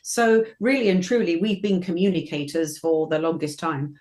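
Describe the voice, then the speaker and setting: A woman's voice: fairly low pitch, moderate pace. Chatty British woman in her fifties. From Britain. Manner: animated, chatty, frequent restarts and fillers. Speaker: guest, professional voiceover artist. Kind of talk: interview answers.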